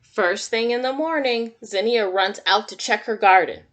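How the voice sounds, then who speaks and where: A woman's voice: on the high side, moderate pace, a bit bright. A woman teacher reading a picture book aloud to young children, adding simple, encouraging comments and questions as she goes. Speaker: kindergarten teacher.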